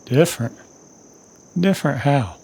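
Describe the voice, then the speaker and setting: A weary, bewildered bounty hunter of the Old West in radio drama style. His voice is husky and slow, and the pitch swings widely. Music plays behind him. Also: expressive, a little mellow, exaggerated voice. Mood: shocked disbelief. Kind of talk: old-time western radio drama acting.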